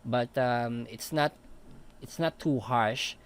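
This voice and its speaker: A young man explains his mixing plugins one by one in an unhurried baritone. He talks slowly, pausing often with fillers as he clicks through settings, in a relaxed, hesitant manner. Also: smooth. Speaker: audio mixing tutor. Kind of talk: casual walkthrough of vocal mixing plugins.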